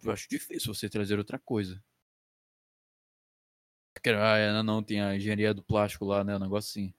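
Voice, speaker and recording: A man's voice: lowish voice, little pitch movement, fast, clear, resonant tone, dry recording, clean studio sound. A twenty-year-old Brazilian man hosting a podcast as a casual chat with friends, slangy and foul-mouthed. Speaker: podcast host.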